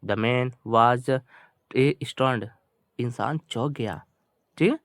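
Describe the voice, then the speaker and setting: A man with an Indian accent, a native Hindi speaker, teaching bilingually, reading English slowly word by word and repeating his explanations colloquially. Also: medium pitch, fairly bright tone, dry recording, studio quality.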